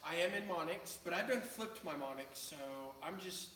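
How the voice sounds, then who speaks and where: Soft-spoken man, a little off-mic. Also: high-pitched, lively intonation, moderate pace, reverberant, a little hiss or hum. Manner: soft-spoken, hesitant, many ums. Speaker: guest co-host. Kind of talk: casual livestream chat. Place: indoor room, away from the mic.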